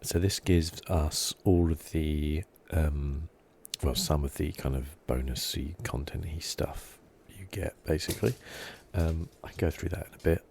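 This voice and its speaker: Male ASMR gamer, very low gravelly voice, low-key and rambling, faint noise behind. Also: measured pace, few pauses. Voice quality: soft-spoken, low-key. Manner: rambling, thinking aloud. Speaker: video game streamer. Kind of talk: relaxed ASMR gameplay commentary.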